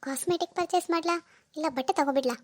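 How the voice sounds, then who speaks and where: High-voiced, scheming young wife played by a woman. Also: fast talker, lightly acted. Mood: smug. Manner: sly muttering alone, whiny playacting to others. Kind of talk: amateur domestic drama acting.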